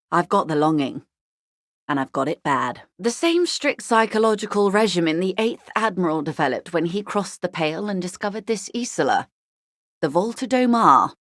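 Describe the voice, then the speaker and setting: A woman playing a rich, weary, shrewd corporate negotiator in a video game, speaking in a measured, eloquent, worldly way. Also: on the low side, animated, dry recording, studio quality, close to an everyday voice. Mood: wry, confiding. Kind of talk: literary video game dialogue acting.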